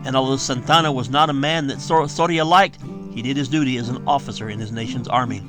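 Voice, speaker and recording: A man's voice: medium pitch, quick pace. A man reading his historical western novel aloud as a theatrical, emphatic audiobook narrator. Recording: guitar music behind the voice. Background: plucked guitar music.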